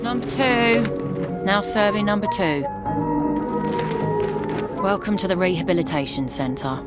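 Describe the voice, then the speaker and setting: An actress playing a rigid, bureaucratic receptionist with a formal, officious manner. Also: female voice, mid-range voice, measured pace, lightly acted. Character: rigid, bureaucratic female rehabilitation centre receptionist. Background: loud music.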